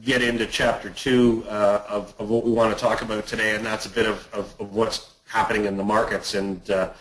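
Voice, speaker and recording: Low-voiced guy hosting a finance webinar, stumbles and repeats words a lot. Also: level tone, fairly bright tone, rough recording. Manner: halting, hesitant delivery with repeated words. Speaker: investment manager hosting a client webinar. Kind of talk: presentation on a conference call.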